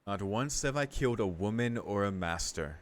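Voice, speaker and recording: A man with a deep voice streams a story game. He alternates between reading narration and relaxed asides, musing aloud and swearing casually. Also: normal speed. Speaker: streamer playing through and reading a visual novel.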